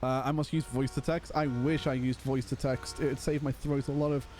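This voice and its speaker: A hoarse young man, chatting casually and laughing as he streams a roleplay game. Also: mid-range voice, little pitch movement, normal speed, a little mellow, faint music behind. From Liverpool, England. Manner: chatty, laughs often, calls people brother. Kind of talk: casual live-stream chat.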